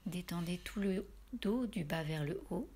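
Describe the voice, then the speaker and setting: Low, husky, breathy woman guiding relaxation. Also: lively intonation, dark tone. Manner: soothing, unhurried instructions with pauses. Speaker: yoga nidra instructor. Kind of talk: guided relaxation meditation.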